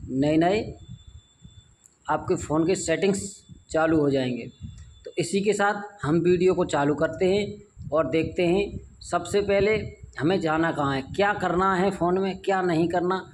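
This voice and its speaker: Male tutorial host, slightly high voice, informal and repetitive step-by-step delivery, faint background noise on an amateur recording. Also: low-quality recording. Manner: repeats phrases and instructions as he goes.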